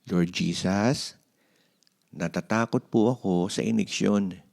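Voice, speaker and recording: A man's voice: low-pitched, takes it slowly, gravelly, darkish tone, full character voice. A man with a Filipino accent voicing a polite, anxious little boy in a children's storybook. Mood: anxious, praying. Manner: polite, meek.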